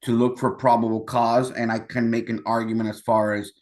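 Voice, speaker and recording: A man's voice: medium pitch, flat delivery, brisk, darkish tone. A man, a defense attorney, speaking formally to the court over a video call through his computer. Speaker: defense lawyer. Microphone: computer microphone on video call.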